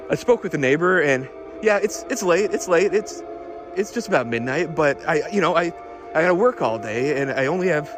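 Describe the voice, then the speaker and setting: An actor playing an obsessed, increasingly unhinged car tinkerer recording a rambling, halting audio diary for an audio drama. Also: male voice, on the high side, natural voice. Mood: agitated, defiant. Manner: halting, nervous, repeating himself, muttering fixations. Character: obsessed man secretly lowering his car, increasingly unhinged.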